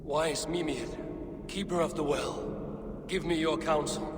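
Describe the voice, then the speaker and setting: Proud, solemn warrior, slightly high. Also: male voice, fairly even intonation. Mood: grave, resolute. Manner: measured, solemn. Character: grave, proud warrior god, unyielding and resolute. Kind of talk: video game cutscene acting. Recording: noisy game stream capture.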